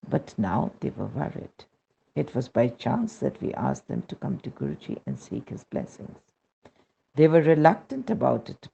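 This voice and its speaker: Male narrator, for devotional testimonial read. Very raspy, mellow tone, muffled lo-fi sound. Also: medium pitch. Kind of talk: scripted narration, reading aloud a testimonial. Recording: dull, muffled lo-fi recording.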